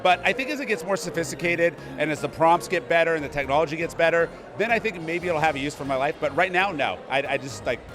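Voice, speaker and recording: A man's voice: on the high side, quick pace, hardly any pauses. A man giving a casual event interview, upbeat and emphatic, often repeating his points. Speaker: interview guest. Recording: music playing loudly behind.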